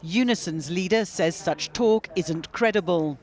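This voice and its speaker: A political correspondent narrating a news report briskly and wryly. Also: male voice, sits high, a little mellow, soft background music.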